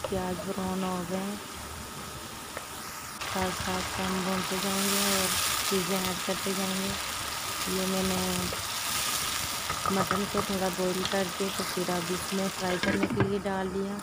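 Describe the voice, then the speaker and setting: A woman walks through a home cooking recipe step by step, often checking with a quick "theek hai". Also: mid-range voice, completely flat delivery. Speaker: cooking channel host. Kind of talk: casual cooking demonstration. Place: home kitchen, over a stove. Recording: loud kitchen noise behind, sizzling frying.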